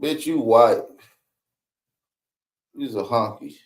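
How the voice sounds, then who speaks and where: A man's voice: medium pitch, slow pace. A man joking provocatively in casual podcast banter.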